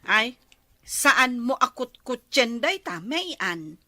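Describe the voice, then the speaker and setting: A woman's voice: on the high side, very animated, slow, sharp tone, no echo, studio quality, heavily acted. A woman playing an envious, fault-finding wife in an Ilocano radio drama, grumbling and drawing out her words. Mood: disapproving.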